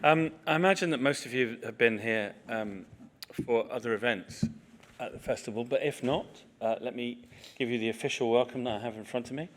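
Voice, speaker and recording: A man's voice: medium pitch, brisk, fairly bright tone, slight background noise. Chatty middle-aged man chairing a panel. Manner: chatty, self-deprecating, many fillers. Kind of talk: hosting a festival panel. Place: small event hall with audience.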